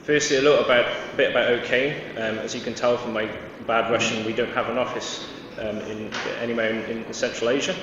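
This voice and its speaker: A man's voice: mid-range voice, fairly even intonation, fairly quick, few pauses, distant mic. A man from Wales giving a conference presentation, hesitant, with many fillers and restarts. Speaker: conference speaker. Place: large conference hall. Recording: echoey hall, dull sound, noisy.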